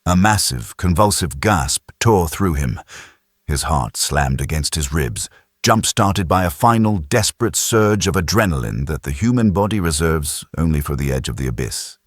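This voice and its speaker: An extremely deep male narrator reads dramatic science fiction with grave suspense. His husky voice moves expressively up and down, recorded close and dry. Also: animated, moderate pace, no echo. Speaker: audiobook narrator. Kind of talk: dramatic scripted sci-fi narration.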